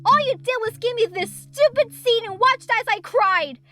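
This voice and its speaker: A woman's voice: very high voice, moderate pace, pushed and bright, soft background music, voice pushed up. A woman voicing an emotional, exhausted young male fox kid from a cartoon game in a fan drama. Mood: furious, anguished. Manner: emotional, pleading, tearful.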